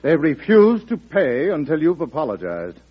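An actor playing an anxious, deferential secretary of state in an old-time radio drama. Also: male voice, a little high, huge pitch swings, normal speed, husky, exaggerated voice. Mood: cautious, urgent. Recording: muffled vintage radio recording.

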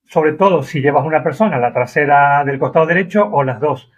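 Husky man, dull audio. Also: fairly high pitch, a little fast. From Argentina. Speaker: Uber driver being interviewed. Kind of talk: conversational interview.

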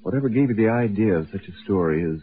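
A man's voice: fairly low pitch, lively intonation, quick pace. A man working as a radio announcer, putting questions in 1940s broadcast style. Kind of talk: 1940s radio host banter. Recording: muffled, narrow 1940s radio recording.

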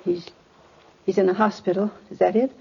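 An actress playing a gentle, grieving mother in her mid sixties in a 1950s radio drama, polite, hesitant and emotional. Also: medium pitch, steady tone, a little fast, vocal fry, slightly rough. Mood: alarmed, disbelieving. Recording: muffled vintage radio recording.

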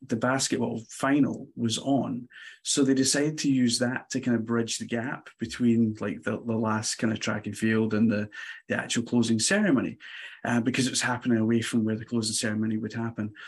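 A man from Scotland with a Glasgow accent chats easily as a podcast guest, telling his story in a relaxed, friendly way. His voice has a husky, slightly rough edge at an ordinary pitch. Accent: Scottish, Glasgow. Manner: relaxed, chatty, laughs lightly. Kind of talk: casual interview storytelling.

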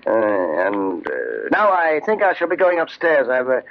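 An actor playing a suspicious older uncle in a 1940s style radio drama, halting and musing with feigned casualness. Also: male voice, mid-range voice, sing-song, creaky. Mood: coy, casual. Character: suspicious older uncle, coyly genial, hinting. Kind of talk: 1940s radio horror acting. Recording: dull, lo-fi old radio recording.

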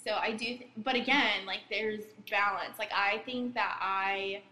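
A woman in her twenties talking reflectively in a casual chat and giving gentle advice. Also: medium pitch, fairly even intonation, a little slow. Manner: reflective, gives advice with many "like"s. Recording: echoey room with faint noise.

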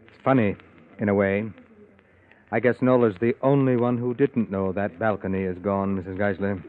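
An actor playing a grave, controlled man in a radio mystery, speaking in a measured, somber 1940s acting style. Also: on the low side, fairly even intonation, lightly acted. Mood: cold, ominous. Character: grave, controlled man explaining a deadly accident. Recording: old radio recording, narrow tinny band.

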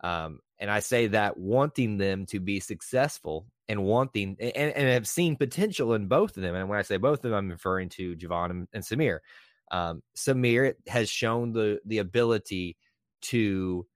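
A man's voice: on the low side, expressive, moderate pace, dry recording, studio quality. A man hosting a casual sports podcast, talkative and easygoing, with fillers and repeated words. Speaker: podcast host.

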